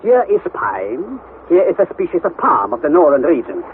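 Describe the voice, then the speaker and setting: Eccentric male professor, middle-aged, high animated voice, declamatory and patronizing, vintage radio drama. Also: normal speed. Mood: lecturing. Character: eccentric, impetuous, courageous German professor uncle. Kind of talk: 1960s radio drama acting. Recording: tinny, noisy 1960s radio recording.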